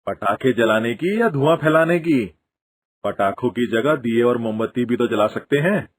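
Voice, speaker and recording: An actor playing a wily, grumbling but soft-hearted village father in a cartoon moral story, argumentative and wry. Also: medium pitch, animated, normal speed, lightly acted.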